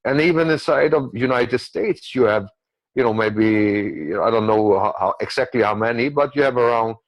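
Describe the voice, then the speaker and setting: A man's voice: mid-range voice, moderate pace, no echo, poor audio. A man who is not a native English speaker gives rambling, repetitive, unscripted political commentary, often checking in with the listener. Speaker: guest commentator.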